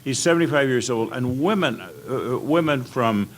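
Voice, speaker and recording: Halting older American man, noisy recording. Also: mid-range voice, expressive. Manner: halting, rambling, hesitant anecdote telling. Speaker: panel guest at old-time radio convention. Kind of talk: informal panel storytelling, reminiscing. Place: indoor convention panel room. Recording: clearly noisy convention room recording.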